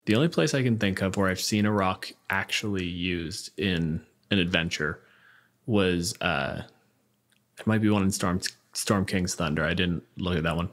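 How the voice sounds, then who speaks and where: Lower-voiced man in casual gaming discussion. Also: moderate pace, fairly bright tone. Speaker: podcast panelist.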